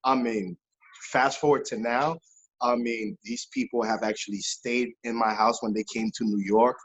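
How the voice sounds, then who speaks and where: A man from Haiti, a pastor and music missionary, talking in an animated interview with many fillers and repeated phrases. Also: mid-range voice, normal speed, husky, poor audio. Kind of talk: casual interview testimony. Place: New York based, remote interview.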